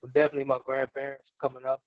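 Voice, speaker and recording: A man's voice: mid-range voice, monotone, very fast, dry recording. A man in his forties from Germany and Missouri, chatting casually as an interview guest in a laid-back, slangy way. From Germany and Missouri, USA. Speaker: guest, hip hop artist and producer. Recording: narrow, tinny phone-like call audio.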